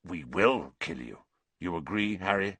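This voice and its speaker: A man's voice: low-pitched, fairly even intonation, normal speed, no room sound. A man voicing a vengeful, wronged man in a storybook, snarling and growling his accusations with fury. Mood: growled threat. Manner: forceful, accusing.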